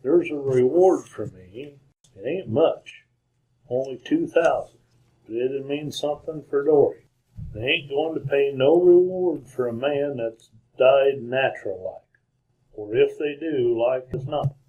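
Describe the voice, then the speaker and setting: A man acting as a wounded outlaw of the American West in an old-time radio drama. He has a middle-pitched voice and pleads earnestly in plain frontier talk, halting and repeating himself. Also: warm tone, highs cut off, lightly acted. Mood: pleading. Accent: American Western. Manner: halting, folksy, repeats words. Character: dying wounded gunslinger, earnest, pleading with gruff dignity.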